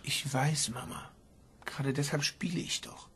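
An actor playing a determined, secretive young son in a radio crime drama, with a husky, creaky voice. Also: male voice, a little high, normal speed, fairly bright tone, a little hiss or hum, close to an everyday voice. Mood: earnest, defensive.

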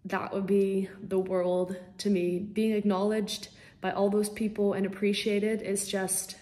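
A woman's voice: mid-range voice, flat delivery, measured pace, dark tone. A young woman from the Netherlands, a singer-songwriter answering interview questions casually over a video call from a small room at home, bubbly and eager with frequent ums.

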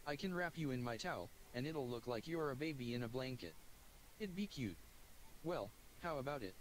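Bubbly absent-minded woman doctor, friendly chatter, mid pitch, read by a man. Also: lively intonation, normal speed, slight background noise, natural voice, poor audio. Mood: chatty. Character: cheerful young woman doctor, caring and scatterbrained.

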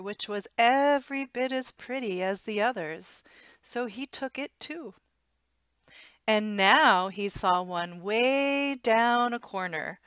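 An American woman reads a picture book aloud for young children. Her delivery is slow, deliberate and expressive, at a middling pitch. The sound is dry and close, from a headset at home. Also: no room sound. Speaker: storytime reader. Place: small room at home.

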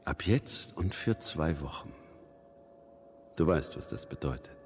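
Casting: stern monastic superior, extremely deep, slow and solemn, menacing radio drama role. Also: male voice, expressive, heavily acted. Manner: solemn, commanding, hushed. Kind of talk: radio play acting. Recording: long church reverb, slight hum.

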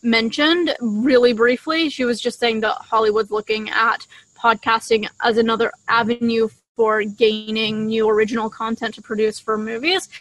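A woman's voice: a little high, little pitch movement, moderate pace, hardly any pauses, fairly bright tone, no echo, rough recording. A young adult woman chatting casually as a podcast co-host, talking into a desktop microphone outdoors. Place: outdoors in a leafy garden. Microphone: desktop condenser microphone shared between two.

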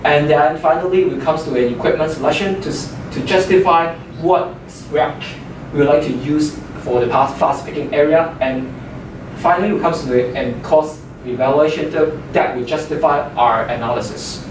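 A man's voice: a little high, normal speed. A young man, a native Chinese speaker, opening a class project presentation, hesitant with many fillers. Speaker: student presenter. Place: classroom with a projector screen, indoors. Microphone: far room microphone. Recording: echoey room from far off, noisy.

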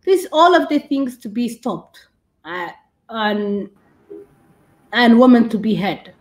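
A woman in her thirties with a Somali accent, answering as an interview guest on a video call, haltingly repeating and rephrasing. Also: a little high, expressive, darkish tone, poor audio. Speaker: guest doctor and women's rights activist. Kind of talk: interview answers on a live stream. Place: curtained room indoors, on a video call. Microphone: video call device mic.

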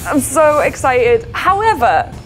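A young woman, co-hosting in casual banter with an excitable, teasing manner. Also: high-pitched, slow, music in the background, low-quality recording. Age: young adult. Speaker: co-host of a comics show. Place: small studio set, indoors.

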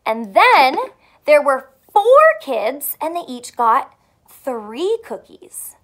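A young woman teacher doing a read-aloud math lesson for children, animated and full of questions, speaking clearly for kids. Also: high-pitched, slow. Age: young adult. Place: small furnished room at home, indoors.

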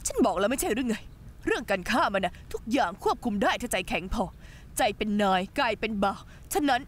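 A scheming young accomplice who talks fast and urgently, trying to calm a friend. Her fairly high voice moves up and down a lot in this dubbed drama. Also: slight background noise. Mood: scolding. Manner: urgent, coaxing.

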